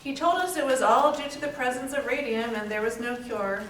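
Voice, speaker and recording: A woman's voice: high voice, a little fast, close to an everyday voice. A woman playing a brave, hopeful young factory worker in a dramatic readers theater monologue. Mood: sober, hopeful. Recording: echoey hall, far mic, faint noise.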